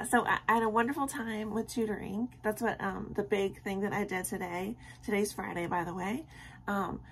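A young woman with a tired, scratchy voice chats casually to camera from her bedroom at night, rambling with many ums. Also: mid-range voice. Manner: rambling and friendly, with many ums and soft laughs. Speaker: vlogger.

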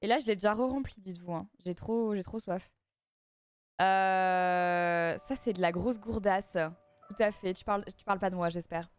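A woman's voice: mid-range voice, moderate pace, clear, resonant tone, dry recording. Chatty young Frenchwoman streaming. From France. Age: young adult. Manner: chatty, reading and answering chat messages. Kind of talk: casual chat with live viewers. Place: small dim room, indoors. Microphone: studio mic on boom arm, headset on.

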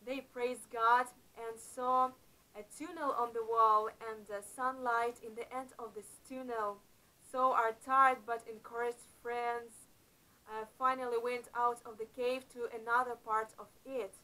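A middle-aged woman narrating a Bible-themed adventure story to camera in a small room, earnest and a little halting. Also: a little high, flat delivery, bright, forceful tone, low-quality recording. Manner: earnest, slightly halting phrasing with uneven emphasis. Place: small indoor room before a painted backdrop. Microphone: camera close up in front of her.

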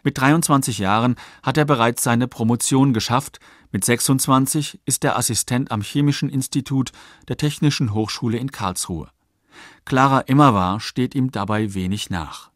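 A man narrating a scripted documentary in a factual, measured way. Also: medium pitch, a bit bright, no echo, clean studio sound. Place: dry studio.

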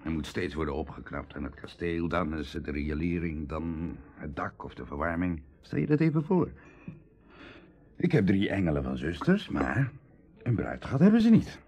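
An actor playing a proud, hard-up aristocratic bachelor in a radio drama, confiding and passionate, with emotional, dramatic delivery shifting from hushed to outbursts. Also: male voice, very deep voice, big pitch swings, normal speed, husky, exaggerated voice. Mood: earnest, confessing. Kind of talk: Dutch radio drama acting.

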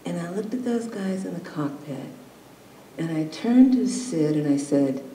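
A woman's voice: on the low side, lively intonation, a little slow, dark tone. A middle-aged woman telling a folksy anecdote to an audience, acting out the dialogue. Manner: folksy, acts out quoted dialogue. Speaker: fisherwoman and panelist. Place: auditorium stage, recorded from audience distance. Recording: echoey, distant, noisy hall recording.

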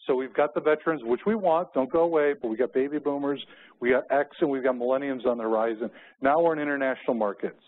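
A man's voice: medium pitch, fast talker. Tinny-sounding American man giving a keynote. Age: middle-aged. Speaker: keynote speaker. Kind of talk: conference presentation with personal anecdotes. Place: conference room with windows. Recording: muffled, phone-like narrow-band audio.

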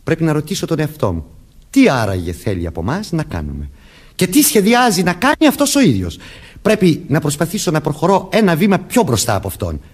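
An actor playing a stern, commanding young police detective questioning people deliberately in a Greek radio play. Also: male voice, on the high side, wildly swooping pitch, breathy edge, full character voice. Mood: grave, warning.

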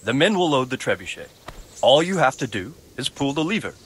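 A man's voice: mid-range voice, sing-song, a little fast, a bit bright, husky. A man playing a dignified older medieval lord giving orders in a dramatic game cutscene. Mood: encouraging.

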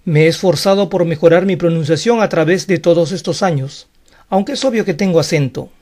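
Frank, high-voiced male Spanish-speaking teacher. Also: brisk, darkish tone. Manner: frank, defensive, explanatory. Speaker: English teacher. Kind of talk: online lesson monologue.

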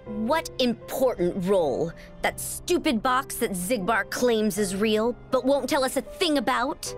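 Snarky young villain girl, highish voice, scornful, music behind. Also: expressive, unhurried. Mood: irritated. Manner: sarcastic, scornful.

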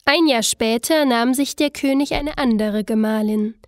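A woman narrating a scripted fairy tale in an expressive, storybook manner. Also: on the high side, no echo. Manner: expressive, unhurried storybook telling.

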